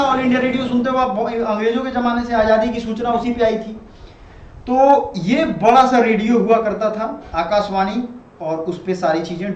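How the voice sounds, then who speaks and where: A high-pitched male teacher explains things in a conversational lecture style, often slipping into English. His voice rings in an echoey room, and he keeps checking that listeners follow. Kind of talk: classroom lecture explaining current affairs. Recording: echoey room, muffled, loud background noise.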